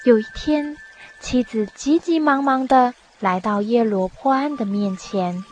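A woman narrating a radio drama in a measured, scripted storytelling style. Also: a little high, a little mellow, lo-fi sound. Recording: music behind the voice.

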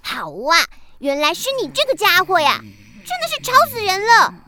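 A tiny, bright-voiced candy-tree fairy in a children's radio play. She is bossy and grumpy, swooping dramatically from huffs to scolding. The voice is extremely high and sharp. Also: wildly swooping pitch, moderate pace, heavily acted. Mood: irritated.

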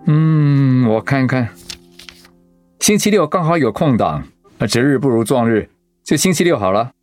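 A man acting an easygoing, caring middle-aged husband, a chaplain, in an expressive radio drama style. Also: mid-range voice, moderate pace, no echo, clean studio sound, soft background music, natural voice. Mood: eager, warm. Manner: expressive, conversational.

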